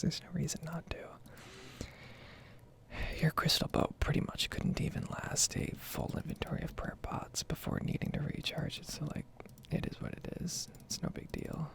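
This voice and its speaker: Hushed, very creaky ASMR whisperer. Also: medium pitch. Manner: hushed, soft-spoken, unhurried. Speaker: gaming video host. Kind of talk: ASMR gameplay ramble.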